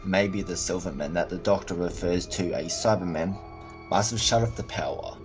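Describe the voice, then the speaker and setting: A man playing a flippant, loyal young adventurer in an amateur sci-fi audio drama, speaking informally and excitably. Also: deep voice, normal speed, over music, natural voice. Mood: flippant, curious.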